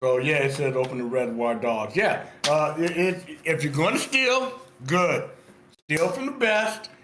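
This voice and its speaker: A man giving animated, informal feedback on a screenplay, theatrical and emphatic, repeating phrases for effect. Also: a little high, unhurried, echoey room, slight background noise. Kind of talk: animated, informal script critique. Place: online video meeting.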